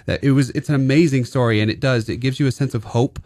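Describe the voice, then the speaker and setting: A man's voice: mid-range voice, fairly even intonation, quick pace, a little mellow, no echo, studio quality. A male stage actor being interviewed, hesitant, with many restarts. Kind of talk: casual interview.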